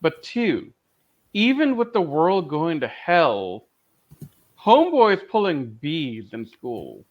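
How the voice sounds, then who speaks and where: A man co-hosting a casual fan review podcast, making his points in a deliberate, emphatic way. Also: fairly high pitch, wildly swooping pitch. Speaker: podcast co-host. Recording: slightly dull, lo-fi microphone sound.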